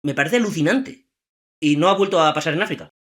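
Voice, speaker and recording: A man hosting a trivia podcast, telling a story in a lively, chatty way and asking the listeners questions. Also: on the high side, quick pace. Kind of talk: casual storytelling chat.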